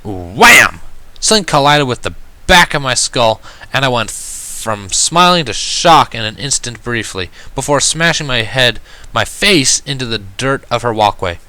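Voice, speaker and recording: A man narrating a first-person story in an expressive, reflective and dramatic way. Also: mid-range voice, a little slow, dry recording. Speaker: reader of fan fiction. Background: background music.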